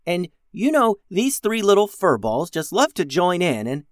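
Dithering, gentle male crewman voiced by a storyteller, slightly raised pitch, hesitant and wandering, children's storybook sci-fi. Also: very animated, moderate pace, no echo, studio quality. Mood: cheerfully rambling. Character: mild, dithering, plant-loving male spaceship crewman.

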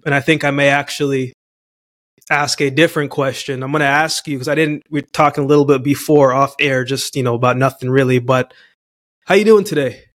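A man's voice: medium pitch, steady tone, a little fast, a bit bright, no room sound, studio quality. A man from Jamaica hosting a podcast interview, earnest and upbeat, often saying "man". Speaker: podcast host.

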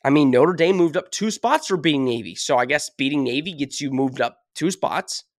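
A man's voice: fairly high pitch, animated, normal speed, no echo, studio quality. A man hosting a casual sports podcast, ranting with emphasis, a little sick and losing his voice. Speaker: podcast host.

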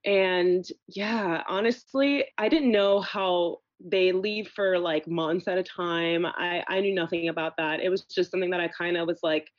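A woman's voice: fairly low pitch, fairly even intonation, unhurried, few pauses, no echo, dull audio. A woman in her thirties from Venezuela, chatting casually as a podcast guest and filling her talk with "like" and "you know.". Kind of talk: casual conversation.

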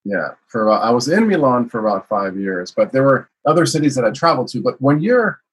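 A man's voice: medium pitch, sing-song, brisk, husky, low-quality recording. A man from the United States, a guest telling his life story in a casual interview, rambling with many fillers. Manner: rambling, frequent "you know" fillers.

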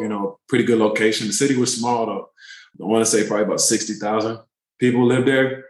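A man in his thirties, a pro basketball player, telling relaxed, rambling stories in a casual interview. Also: on the low side, reverberant, poor audio. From born in Turkey, raised in the DC area. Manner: relaxed, rambling, many fillers.

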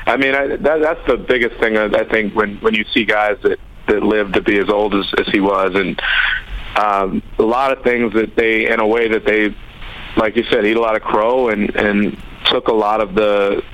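A man in his thirties who grew up in the Chicago suburbs, speaking as an interview guest in a measured, hesitant way. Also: fairly low pitch, fairly even intonation, a bit bright, rough recording. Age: 30s. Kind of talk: conversational interview.

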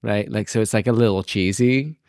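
A man's voice: lowish voice, brisk, a little mellow, no echo. A man co-hosting a podcast, chatting casually with dry teasing remarks and swearing. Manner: sardonic, swears, teasing interjections. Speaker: podcast co-host. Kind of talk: casual chat.

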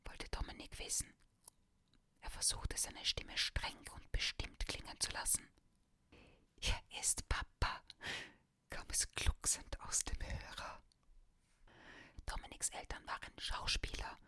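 A woman reading a children's story aloud in a soft, steady whisper, ASMR style. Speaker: reader.

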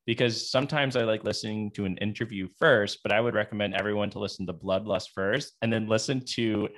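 A man co-hosting a podcast, chatting casually in an interview and feeling for his words in a halting way. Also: fairly low pitch, fairly even intonation, a bit bright. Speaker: podcast co-host.

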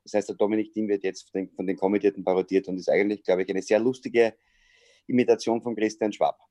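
A man's voice: lowish voice, steady tone, fast, mellow, dry recording. A middle-aged man with an Austrian accent, a sports journalist and comedian, chatting over a video call and telling stories with lots of hesitations. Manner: chatty storyteller with frequent hesitations.

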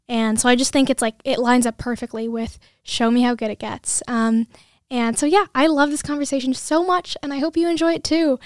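A young woman hosts a podcast in an earnest, gushing conversational way, her voice sitting fairly high. She is recorded close on a dry mic with no room sound. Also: no echo. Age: 20s. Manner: earnest and effusive, many fillers. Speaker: podcast host and student. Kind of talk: casual podcast chat.

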